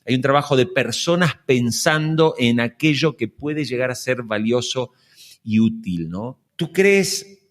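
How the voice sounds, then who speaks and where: A man, interviewing as a podcast host in a measured, conversational way. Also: mid-range voice, animated.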